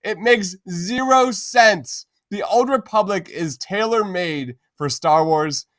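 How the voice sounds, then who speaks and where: A man's voice: high voice, slow pace, fairly bright tone, no echo, studio quality. A man hosting a podcast, giving opinions in informal, emphatic fan chat. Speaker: podcast host.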